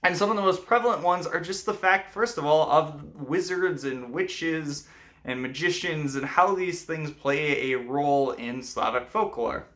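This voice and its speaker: Bright, forceful male storyteller. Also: fairly high pitch, measured pace. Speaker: storyteller and host. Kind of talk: scripted fairy tale narration, then explanatory commentary.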